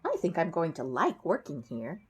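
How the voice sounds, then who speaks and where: A woman's voice: low-pitched, huge pitch swings, moderate pace, husky, full character voice. A woman voicing a cheerful, literal-minded female housekeeper who exclaims and talks to herself in a folksy way, as in a storybook. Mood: pleased, eager.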